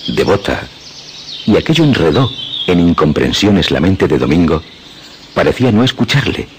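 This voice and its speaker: A man's voice: low voice, sing-song, moderate pace, a little hiss or hum. A man narrating a suspense radio play in a theatrical, literary storytelling manner.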